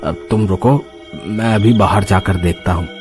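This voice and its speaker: A man's voice: on the low side, moderate pace, over music, close to an everyday voice. A man voicing a concerned but bold village husband in an exclamatory, dramatic cartoon style. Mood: urgent. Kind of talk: Hindi cartoon character acting.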